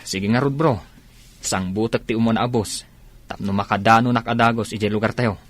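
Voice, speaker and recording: Actor as Ilocano soldier in a radio drama. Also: male voice, lowish voice, fairly even intonation, fairly quick, natural voice. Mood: matter-of-fact. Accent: Filipino, Ilocano. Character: young soldier, brotherly and loyal husband. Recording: radio drama with music behind.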